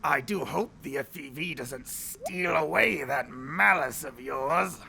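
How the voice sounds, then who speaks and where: Male actor as a pompous gloating enemy officer, gravelly and unhurried, theatrical sneer. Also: fairly high pitch, sharp tone, slight background noise, exaggerated voice. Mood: smug. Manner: pompous, mocking, drawn-out villain delivery. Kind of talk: audio drama acting.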